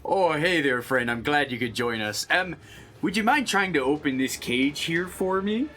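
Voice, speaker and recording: A man's voice: on the high side, lively intonation, normal speed, pushed and bright, voice pushed up. A man voicing a friendly, polite and hesitant adventurer in a tabletop game.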